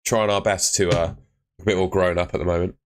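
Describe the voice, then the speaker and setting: A young man chatting live with viewers on a stream, laid-back and chatty, his voice fading and hoarse. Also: low voice, flat delivery, a little fast. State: losing his voice.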